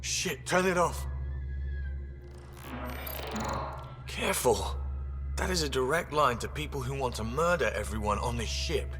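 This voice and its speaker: An actor playing a wry, casual starship engineer in a video game, talking informally. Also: male voice, mid-range voice, animated, normal speed, natural voice. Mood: alarmed. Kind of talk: video game cutscene acting. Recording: long reverb, faint music behind.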